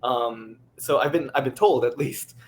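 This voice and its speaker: A man speaking as a panelist, giving advice in casual, earnest, halting conversational talk. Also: medium pitch.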